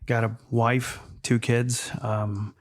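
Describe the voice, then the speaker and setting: A man in his fifties from Texas, Spanish his first language, talking in a measured, reflective way as a podcast guest. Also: mid-range voice, little pitch movement. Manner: measured, reflective, with frequent fillers. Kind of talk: casual interview chat.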